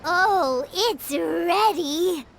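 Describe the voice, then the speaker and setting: A woman voicing a tiny floating fairy-like companion girl who talks about herself in the third person, in a high, squeaky, bubbly way. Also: very slow, gravelly, background noise, full character voice. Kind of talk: game voice acting. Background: game background music.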